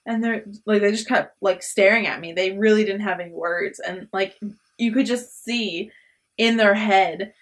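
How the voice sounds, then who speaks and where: A woman's voice: mid-range voice, normal speed. A young woman hosting her own vlog, telling a funny story in a chatty way with lots of fillers and laughs. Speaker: vlog host. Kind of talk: casual storytelling.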